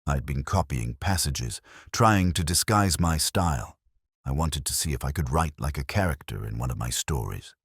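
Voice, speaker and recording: A man's voice: rumbling low, expressive, normal speed, husky, no echo, studio quality, exaggerated voice. A man voicing an anxious, confiding young girl in an audiobook, earnest and hesitant. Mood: anxious confession. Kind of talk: audiobook character dialogue.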